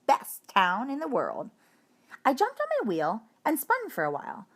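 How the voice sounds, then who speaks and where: A woman reading a children's story aloud in an expressive, emphatic narrating style. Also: on the high side. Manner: animated, emphatic delivery. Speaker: audiobook reader.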